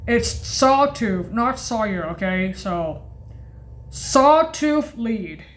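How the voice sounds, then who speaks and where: A woman presenting an informal keyboard sound demo in a halting, repetitive way, often correcting herself. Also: on the high side, expressive, loud music behind the voice. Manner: halting, repetitive, frequently self-correcting and apologizing. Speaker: keyboard demonstrator presenting organ voice effects. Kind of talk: informal music demo, announcing preset names.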